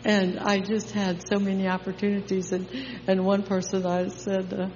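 An older woman sharing an emotional church testimony in a heartfelt, halting way. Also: mid-range voice, completely flat delivery, slight background noise. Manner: emotional, heartfelt, with soft laughs. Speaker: congregation member sharing testimony. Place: church sanctuary. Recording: echoey church hall, dull sound.

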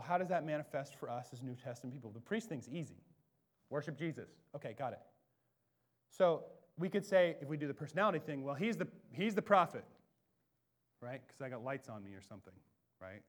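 An American man from the Pacific Northwest preaching a sermon, loud and animated, dropping into quiet asides before rising to booming emphasis. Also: fairly quick. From Bellingham, Washington, USA. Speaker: pastor. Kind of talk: expository sermon.

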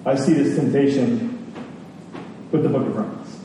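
A man preaching a sermon and teaching scripture, earnest and emphatic, with rhetorical questions, pauses and repetition. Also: mid-range voice, warm tone. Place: large reverberant room. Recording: echoey hall, distant mic, faint noise.

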